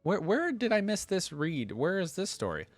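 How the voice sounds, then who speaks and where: A man hosting a casual reaction video, reading text aloud and thinking out loud with offhand asides. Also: sits high, wildly swooping pitch, moderate pace, no echo, faint music behind.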